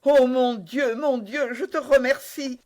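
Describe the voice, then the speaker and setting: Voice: medium pitch, measured pace, pitched above the performer's own voice, natural voice. A reader doing a desperate young swordsman. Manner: urgent, loud calls for help. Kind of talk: audiobook character voice.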